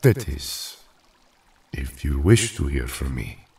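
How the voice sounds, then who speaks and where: A man playing a shy, gentle young strongman hero in an audio drama, speaking haltingly and formally. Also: rumbling low, sing-song, creaky, distant mic, full character voice. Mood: shy, tender.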